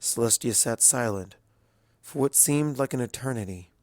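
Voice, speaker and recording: A man reading a dramatic story as narrator in a solemn, hushed, deliberate way. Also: mid-range voice, no room sound, studio quality. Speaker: fan fiction narrator.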